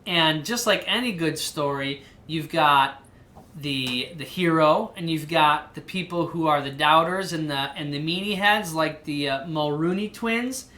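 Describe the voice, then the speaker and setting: A woman reading a children's storybook aloud in an animated style. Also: sits low, measured pace. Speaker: storybook reader.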